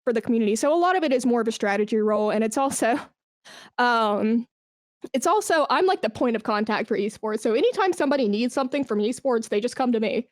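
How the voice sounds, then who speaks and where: Female podcast guest, fairly high voice in a dry recording, conversational, keen and emphatic delivery. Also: animated, quick pace, no room sound. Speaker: podcast guest, esports brand manager. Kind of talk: casual interview conversation.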